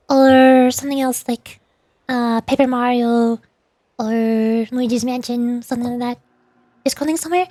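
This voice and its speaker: High-pitched woman chatting casually on stream. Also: darkish tone, no echo, clean studio sound. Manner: rambling, thinking aloud. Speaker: livestreamer. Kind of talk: casual chat to livestream viewers.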